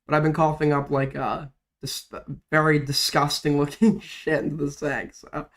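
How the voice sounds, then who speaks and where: A man's voice: fairly high pitch, little pitch movement, moderate pace, fairly bright tone. Sick young man, cracking voice. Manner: rambling, profane, filler-heavy. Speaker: drama commentary streamer. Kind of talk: casual livestream commentary.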